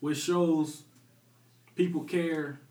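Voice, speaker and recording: A man's voice: on the high side, steady tone, very slow, dark tone, far from the mic. A man hosting a podcast, asking loose, slangy questions and cracking jokes. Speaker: podcast host. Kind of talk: casual interview chat. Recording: echoey room, voice off-mic, faint background noise.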